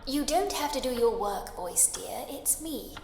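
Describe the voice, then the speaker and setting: High, echoey, caring wife. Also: female voice, lively intonation, a little slow. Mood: gently worried. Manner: gentle, affectionate, proper. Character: caring, gently worried Victorian wife of a detective. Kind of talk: video game voice acting. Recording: echoey long reverb with music. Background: music.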